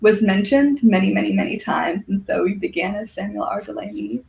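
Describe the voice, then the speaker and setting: A woman talks as a podcast guest with a noticeably creaky, mid-pitched voice. Her chat is casual and enthusiastic. She moves haltingly through her thoughts, feeling for each phrase. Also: a little mellow, rough recording. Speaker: podcast guest, science fiction writer.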